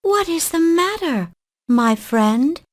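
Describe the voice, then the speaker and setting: A woman voicing a kind, generous young shepherd boy in a children's storybook, gentle and earnest. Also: high voice, sing-song, slow pace, pitched above the performer's own voice, full character voice. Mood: gentle concern.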